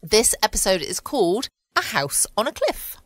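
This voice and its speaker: A woman's voice: medium pitch, big pitch swings, moderate pace, no room sound. A woman with a British accent chatting casually as the host of a solo podcast. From United Kingdom. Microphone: close mic in a dry home room.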